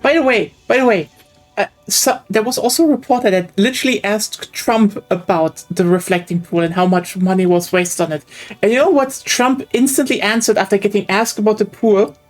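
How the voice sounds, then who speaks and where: A woman's voice: mid-range voice, fairly quick, soft background music. A woman who learned English as a second language, chatting casually and teasing her friends during a game session. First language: not English. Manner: sarcastic and teasing, laughs often. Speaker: co-player in a streamed game session. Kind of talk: casual chat.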